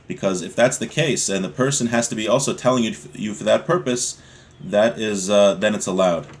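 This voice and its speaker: A man teaching a religious law text, reading passages in Hebrew and explaining them in casual, conversational speech. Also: on the low side. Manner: reads Hebrew aloud then translates in casual speech. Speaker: Torah teacher.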